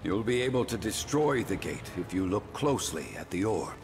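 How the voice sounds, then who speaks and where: A man voicing a grave, steady guide in a video game, earnest and instructive. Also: fairly low pitch, normal speed, loud music behind the voice. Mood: urgent, grave.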